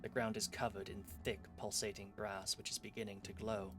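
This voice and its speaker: A man streaming himself reading visual novel narration aloud, quiet and even, correcting himself now and then. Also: on the low side, fairly quick. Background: game music.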